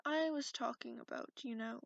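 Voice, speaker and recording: A woman voicing a cranky, sarcastic, sleepy dragon in a breathy, half-whispered ASMR roleplay, drawling haughtily. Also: a little high, normal speed, no echo. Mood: grudgingly indulgent. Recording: muffled lo-fi close recording.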